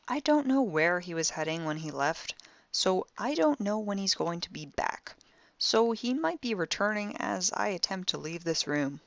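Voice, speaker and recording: A woman's voice: mid-range voice, expressive, normal speed, gravelly, no room sound, highs cut off. A woman playing a wry, impulsive young amateur detective, recording a spoken log in an audio drama with sardonic, theatrically dry conversational delivery. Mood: smug, conspiratorial.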